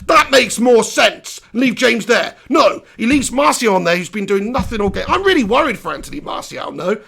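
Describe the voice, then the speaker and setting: A man hosting a live post-match reaction, hoarse from losing his voice, ranting in an animated, exasperated way. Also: very high voice, normal speed. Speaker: football fan channel host.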